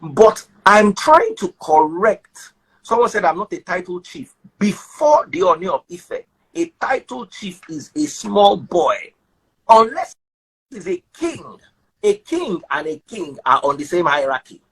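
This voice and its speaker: A Nigerian man hosts a talk show and gives his opinions emphatically, mixing in pidgin phrases. His pitch swings a lot and his voice is husky. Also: very animated, measured pace, fairly bright tone, rough recording.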